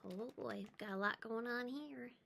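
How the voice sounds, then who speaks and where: A woman reading a children's story aloud in an expressive, emphatic way, with chatty asides. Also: on the high side, a little slow, gravelly, noisy background.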